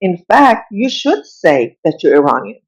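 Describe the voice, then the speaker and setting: A woman's voice: on the low side, sing-song, unhurried. A middle-aged woman from Iran, a guest telling personal stories in a casual interview in an earnest, reflective way, often saying "you know".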